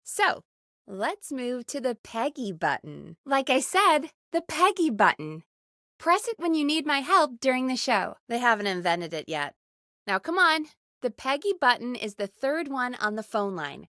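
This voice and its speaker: A woman playing a bossy but caring, exasperated radio show producer in a video game, brisk and expressive. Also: sits high, no room sound, clean studio sound, heavily acted. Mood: patient instructing.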